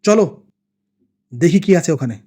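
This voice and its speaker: A man voicing a gruff, corrupt senior police officer in a horror cartoon. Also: a little high, animated, normal speed, warm tone. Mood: scornful. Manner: scolding, disbelieving.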